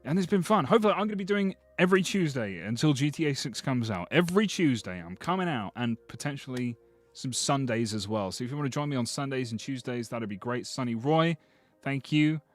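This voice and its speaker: A man with a slightly hoarse voice, rambling and joking freely as he chats through a livestream. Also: mid-range voice, expressive, a little fast, faint music behind. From Britain. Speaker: streamer. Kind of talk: casual livestream commentary.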